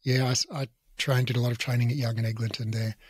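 A man in his forties hosting a podcast interview in a home office on a studio mic, relaxed and laughing easily, with some hesitant fillers. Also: mid-range voice, fast talker, husky, no room sound. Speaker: podcast host. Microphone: large studio mic on boom arm.

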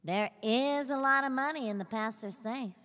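A woman's voice: fairly high pitch, moderate pace, fairly bright tone, in a large hall, close to an everyday voice. An actress playing a brash, cocky nine-year-old boy in a slapstick noir radio play. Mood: cocky, hopeful. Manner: brash, swaggering.

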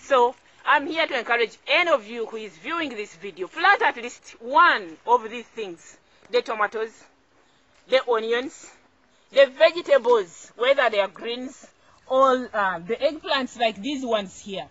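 Bright, high-voiced Ugandan woman. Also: lively intonation, measured pace, no echo. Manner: encouraging, emphatic, repeats phrases for emphasis. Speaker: farmer and video host. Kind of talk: informal instructional vlog to camera. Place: small outdoor home garden. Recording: dull, lo-fi camera audio.

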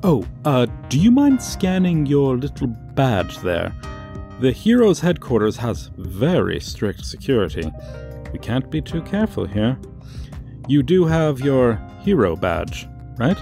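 Casting: male actor as sly villain tour guide, comedic audio roleplay; creaky voice, swooping pitch, sardonic showman delivery. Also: huge pitch swings, music in the background, heavily acted. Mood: chatty, insincere. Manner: showy, drawn-out emphasis, dry asides. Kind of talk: comedic ASMR roleplay monologue.

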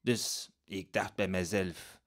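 An actor playing a flamboyant, vain, frivolous travelling gentleman in a radio drama, gushing theatrically. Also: low-pitched, fairly even intonation, takes it slowly. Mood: gushing, dramatic. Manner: theatrical, effusive, gushing with exclamations.

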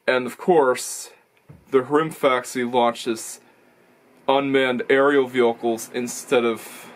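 A man's voice: mid-range voice, steady tone, slow pace. A young man talking casually to camera as a racing video reviewer, rambling and correcting himself as he explains. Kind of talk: casual vlog commentary. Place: small indoor room full of toy shelves. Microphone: camera or webcam in front of him.

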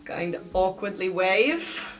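A woman takes part in a tabletop roleplaying game. She improvises casually in a medium-pitched voice. Light music sits behind her. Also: animated, slow. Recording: music bed under the voice. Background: background music.

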